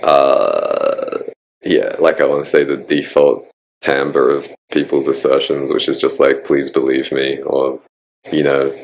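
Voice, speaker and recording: A man with an Australian accent hosting a casual online group chat, musing in a relaxed way with frequent ums. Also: very deep voice, measured pace, slight background noise, rough recording. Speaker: podcast host.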